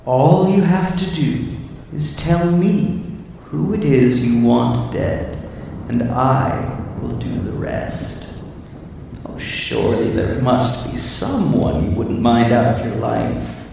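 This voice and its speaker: A man's voice: mid-range voice, slow, gravelly. A man playing a sly, devilish monster in a radio drama, taunting and coaxing with theatrical menace as it offers a deal. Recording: echoing hall-like reverb, distant, faint noise.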